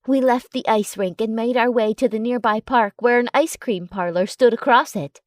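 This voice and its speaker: A woman's voice: fairly high pitch, moderate pace, no room sound, studio quality. A woman reading scripted first-person fiction as an audiobook narrator.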